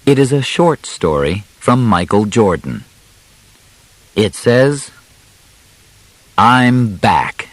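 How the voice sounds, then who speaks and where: Man, scripted audiobook narration, unhurried, dry close sound, short phrases with clear pauses. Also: on the low side, big pitch swings, no room sound. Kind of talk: scripted narration of a simplified biography for learners.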